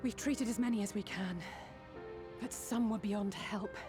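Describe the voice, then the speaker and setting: Woman voicing earnest young game healer, medium pitch, hard on herself, music behind. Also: measured pace, natural voice. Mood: earnest regret.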